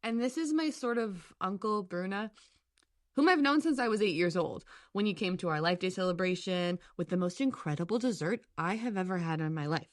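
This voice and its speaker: A woman's voice: lowish voice, brisk, dry recording, studio quality, lightly acted. A woman playing an earnest, flustered young circus adventurer in an audio drama, self-deprecating and a little hesitant. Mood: warm, awkward. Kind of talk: audio drama character acting and narration.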